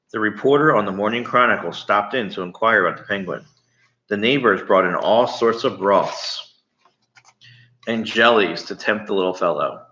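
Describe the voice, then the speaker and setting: A man reading a storybook aloud, pausing to explain things and ask questions as he goes. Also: fairly low pitch, bright, forceful tone. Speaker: read-aloud storyteller to children. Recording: slightly muffled, echoey room.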